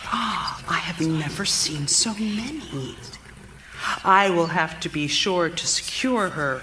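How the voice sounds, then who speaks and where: A woman playing a secretive, obsessive young wife who is mentally unravelling, in live radio theater style with theatrical, hushed delivery. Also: on the low side, expressive, slow pace, noisy background. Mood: distracted, manic. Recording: music under a live stage performance. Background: music.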